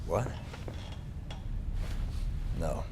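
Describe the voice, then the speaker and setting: A man's voice: very slow, background noise. A man acting an earnest, defensive sergeant in a video game, answering interrogators in a terse, insistent manner.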